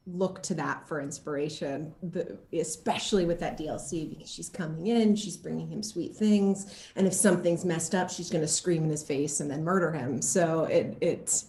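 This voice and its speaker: Woman chatting in an echoey room. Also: sits low. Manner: animated, playful, acts out lines as she talks. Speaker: actor being interviewed. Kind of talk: casual interview chat.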